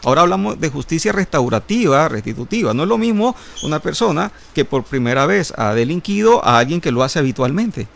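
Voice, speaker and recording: Male criminal-law guest, gravelly and slightly high-pitched, explains at length with fillers. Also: very animated, brisk, few pauses, a bit bright. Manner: lecturing, legal jargon, frequent hesitations. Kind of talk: radio panel discussion.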